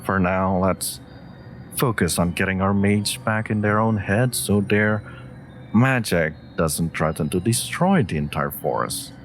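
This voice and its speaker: Man playing a deep, haughty wolf familiar. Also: soft background music. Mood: dry, concerned. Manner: dry, smug, sharp-tongued, deliberate. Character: dry, haughty, clever male wolf familiar of a mage. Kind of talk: scripted audio roleplay acting.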